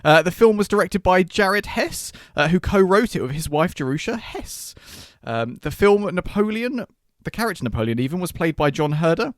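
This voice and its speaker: A young man speaks casually as a co-host on a film podcast. His pitch is a little higher than most men's, and the sound is dry and free of echo. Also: expressive, normal speed. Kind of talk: casual chat.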